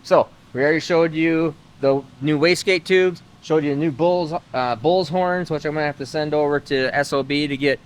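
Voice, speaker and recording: A man's voice: on the high side, moderate pace, few pauses, clear, resonant tone. A man hosting a car vlog, talking casually in a workshop, rambling with lots of filler words. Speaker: host of a car-building channel. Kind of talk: casual garage vlog talk.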